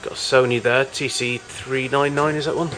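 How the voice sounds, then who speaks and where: A man giving an informal, unscripted show-and-tell as a collector of old machines, in a halting, rambling way with frequent self-corrections. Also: mid-range voice, fairly even intonation, sharp tone, faint music behind. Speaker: collector showing vintage tape recorders.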